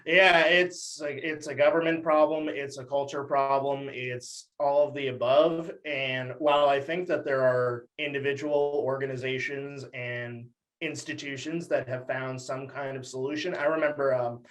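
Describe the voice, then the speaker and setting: A man's voice: mid-range voice, fairly even intonation, normal speed, few pauses, a bit bright, poor audio. A young man from Texas giving articulate, conversational political commentary as an interview guest. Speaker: podcast guest, academic commentator.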